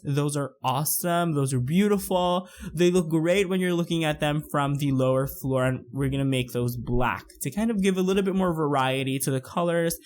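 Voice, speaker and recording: A man in his twenties from the Philippines, chatting casually over a build video with lots of fillers. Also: a little high. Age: 20s. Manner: chatty, rambling, many fillers. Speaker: YouTuber narrating a build.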